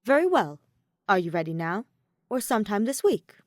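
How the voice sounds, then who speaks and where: A woman voicing a composed, mature teenage girl who speaks politely and formally in a measured way. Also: medium pitch, sing-song, no echo, studio quality, close to an everyday voice.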